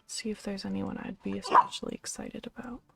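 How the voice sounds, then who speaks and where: A young woman speaking softly at home, her voice sitting in a gravelly vocal fry. She rambles and thinks aloud with plenty of ums, now and then turning aside to hush and coax a pet. Faint game music plays underneath. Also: mid-range voice, fairly even intonation, a little fast. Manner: gently scolds and coaxes a pet between remarks. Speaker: streamer and channel host. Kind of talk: casual game-stream chatter.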